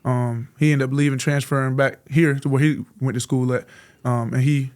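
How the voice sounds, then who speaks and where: A young man in his twenties from Florida, a college football player answering interview questions in a soft-spoken, polite way. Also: mid-range voice, little pitch movement, normal speed. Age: 20s.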